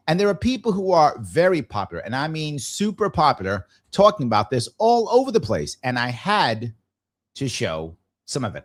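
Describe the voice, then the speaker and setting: Guy doing live opinion show, highish voice goes up and down a lot, argumentative, repeats stuff for emphasis. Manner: emphatic, repeats phrases for effect; blunt, argumentative. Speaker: talk show host.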